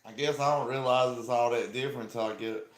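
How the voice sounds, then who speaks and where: A man's voice: medium pitch, steady tone, brisk. Laid-back Southern man in echoey room. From South Carolina, US. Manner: laid-back, friendly, drawling slang. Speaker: livestream host. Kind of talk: casual live-stream chat with viewers. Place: indoor room. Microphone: small earpiece microphone. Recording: echoey room with faint ambient noise.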